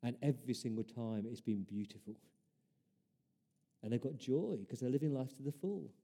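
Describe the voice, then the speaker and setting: A man's voice: mid-range voice, moderate pace, mellow. A man preaching a sermon as pastor, earnest, building his points through repeated rhetorical questions.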